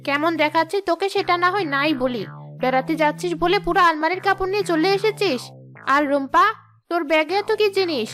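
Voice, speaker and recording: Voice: very high voice, brisk, bright, forceful tone, faint music behind. A performer voicing a bossy female ghost teacher in a children's cartoon, scolding and sarcastic.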